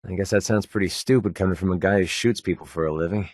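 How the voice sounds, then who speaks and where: An actor voicing a wry, prickly young mercenary sniper with a hidden soft side in a video game, sarcastic and conversational. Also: male voice, low voice, quick pace, no echo, studio quality. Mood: subdued, sincere. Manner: sarcastic, conversational, emotionally expressive. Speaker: video game companion character. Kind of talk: naturalistic video game voice acting.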